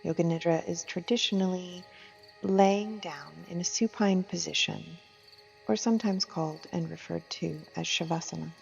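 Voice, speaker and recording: A woman leading a guided meditation as a yoga teacher, gentle and soothing, with soft phrasing and long unhurried pauses. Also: lowish voice, gravelly. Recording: muffled, long reverb, music and insect sounds.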